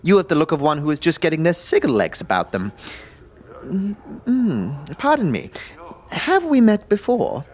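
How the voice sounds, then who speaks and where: A man voicing a tiny, energetic man in his nineties for an audio drama, courtly and archaic, hemming and repeating himself. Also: a little high, moderate pace, voice pushed up. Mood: courtly, eager. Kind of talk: audio drama character acting.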